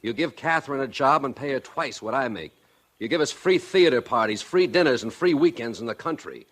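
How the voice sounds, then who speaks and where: An actor playing a proud, touchy, plain-spoken young former football hero in a 1940s radio drama. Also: male voice, medium pitch, expressive, fairly quick, fairly bright tone. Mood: bitter. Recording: vintage 1940s radio recording.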